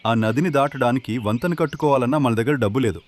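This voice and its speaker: Male actor for restless, greedy young villager, storybook cartoon; medium-pitched, complaining, faint noise behind. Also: fast, close to an everyday voice. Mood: frustrated, cynical. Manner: complaining, cynical, exclaiming. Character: impatient, money-hungry cynical young village man. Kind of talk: animated moral story dialogue.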